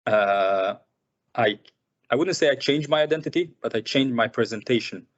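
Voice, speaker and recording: A filmmaker who grew up in Sudan, speaking thoughtfully at a seminar with many fillers and repeated words. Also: male voice, mid-range voice, animated, moderate pace. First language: Arabic. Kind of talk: reflective personal talk. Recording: muffled lo-fi recording.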